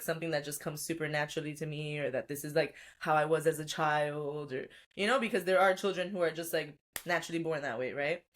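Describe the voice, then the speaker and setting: Woman guest, lowish voice, reflective and chatty, casual podcast storytelling. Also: fairly bright tone. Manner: reflective, chatty, laughs often. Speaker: podcast guest, life and business coach.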